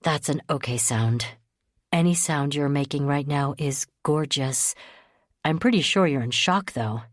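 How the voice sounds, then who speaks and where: A woman reading an audiobook, voicing a calm, protective young man who talks in a soothing, low-key way. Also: a little slow, dry recording, studio quality.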